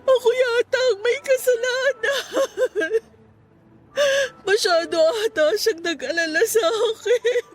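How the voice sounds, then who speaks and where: A woman's voice: extremely high pitch, takes it slowly. A woman acting as a grieving, guilt-ridden young woman, crying and pleading in a radio drama. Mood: guilty, grieving. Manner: sobbing, pleading, melodramatic.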